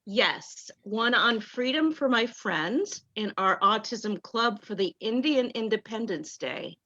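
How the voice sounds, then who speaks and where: A woman reading out a playwright's answers in an online talk back, warm and enthusiastic. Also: on the high side, lively intonation, slow pace. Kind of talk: video call talk back. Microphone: video call. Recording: dull lo-fi call audio.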